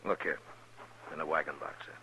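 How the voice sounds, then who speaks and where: An actor with an American accent playing a calm, watchful U.S. marshal in a 1950s radio western. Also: male voice, a bass voice, brisk, background noise. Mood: alert, measured. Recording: dull vintage radio recording.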